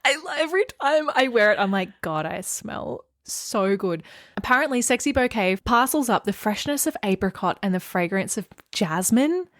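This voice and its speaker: Female podcast co-host, young, Australian accent, mid-pitched, comic and emphatic, dry studio-like sound. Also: expressive, normal speed, a bit bright, dry recording. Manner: mock-dramatic, emphatic declarations. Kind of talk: casual comic chat.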